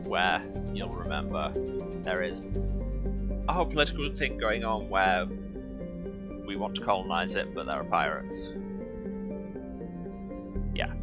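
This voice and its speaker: Wry male game streamer over loud music. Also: deep voice. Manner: wry, rambling, jokey. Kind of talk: casual live game commentary. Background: video game music and sound.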